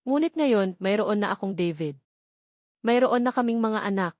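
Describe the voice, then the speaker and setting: Woman, scripted story narration, dramatic delivery, mid pitch, very dry close sound. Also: animated, moderate pace, darkish tone, no echo.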